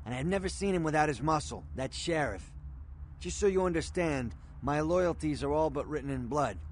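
World-weary gun dealer, American accent, terse, street-wise, game audio, faint noise. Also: male voice, a little high, animated, normal speed. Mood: matter-of-fact, guarded. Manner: terse, matter-of-fact.

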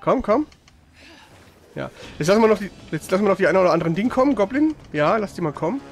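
A man's voice: high-pitched, expressive, quick pace. A man speaking colloquial, slangy speech, casually chatting and commenting live as he plays a game, swearing freely. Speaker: gaming streamer playing and chatting.

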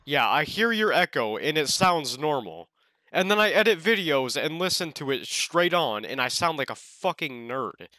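A young man chats casually over a game in an irritated, sweary way. His voice is on the high side and bright, with lively swings in pitch and a dry, close sound. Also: moderate pace, no room sound. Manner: irritated, foul-mouthed. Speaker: video maker gaming with friends. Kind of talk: casual gaming voice chat.

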